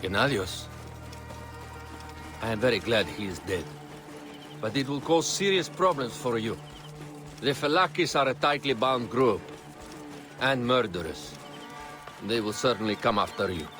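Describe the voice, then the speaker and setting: A man's voice: mid-range voice, animated, a little slow, long pauses, over music, close to an everyday voice. An actor playing a refined, articulate advisor to an ancient Egyptian queen in a video game, speaking formally and instructively. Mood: grave, informative. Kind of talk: video game cutscene acting.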